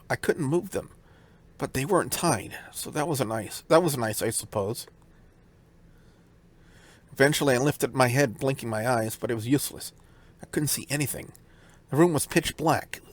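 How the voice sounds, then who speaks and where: A man hosting a let's play, reading visual novel narration aloud in a dramatic storytelling style. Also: mid-range voice. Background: music.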